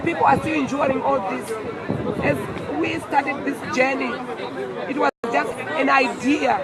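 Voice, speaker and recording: A tired woman, speaking haltingly and earnestly in an outdoor interview. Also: sits high, steady tone. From Zimbabwe. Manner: halting, earnest, repeats words. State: hoarse and tired. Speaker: walk organizer being interviewed. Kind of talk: emotional street interview. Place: outdoors outside an embassy among a crowd. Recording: loud crowd noise outdoors.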